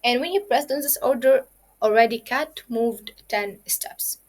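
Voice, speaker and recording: A woman teaches children the basics of coding. Her voice sits a little high, and she explains each point step by step and repeats it, with plenty of fillers along the way. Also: unhurried. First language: Arabic. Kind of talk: kids' coding lesson.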